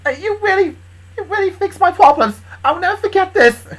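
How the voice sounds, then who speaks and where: A woman's voice: very high-pitched, lively intonation, fairly quick, voice pushed up. A woman voicing an earnest, lovestruck young man in a story, gushing and eager. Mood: elated.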